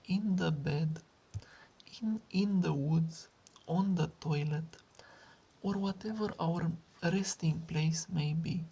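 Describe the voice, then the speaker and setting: A woman leading a guided meditation in a hushed, breathy, husky voice that often slips into a whisper, with soothing repetition. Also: sits low. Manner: slow, soothing delivery with long pauses and repetition.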